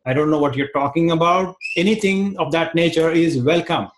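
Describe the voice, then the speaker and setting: A man in his forties with an Indian accent, teaching an online class over a video call, talking quickly and restarting phrases. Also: a little high, poor audio. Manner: fast-talking, repeats words, restarts phrases. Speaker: instructor. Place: home room, indoors. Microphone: webcam on video call.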